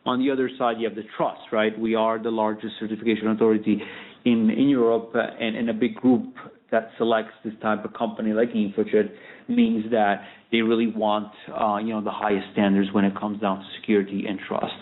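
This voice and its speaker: A man's voice: lowish voice, level tone, brisk. A male company executive answering conversationally on an earnings call, often checking in with little tag words. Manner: conversational, frequent "you know" and "right" tags. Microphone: conference call line. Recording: narrow, tinny phone line.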